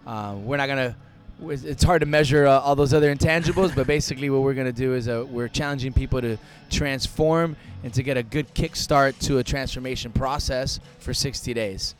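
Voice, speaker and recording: A man talking casually as a podcast guest, relaxed and joking, with many fillers. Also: mid-range voice, fairly quick, hardly any pauses. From United States, Cuban family. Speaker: co-founder of a fitness festival, podcast guest.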